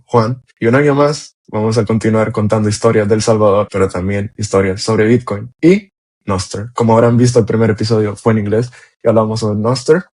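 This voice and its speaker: A man hosting a podcast, talking in a relaxed, rambling way as he introduces his guest. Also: fairly low pitch. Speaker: podcast host. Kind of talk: casual interview talk.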